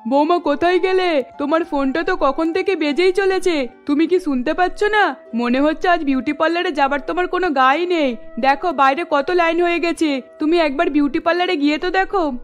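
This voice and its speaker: Very high female voice, woman playing middle-aged cartoon mother-in-law, nagging, insistent, faint music. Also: brisk. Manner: insistent, calling out.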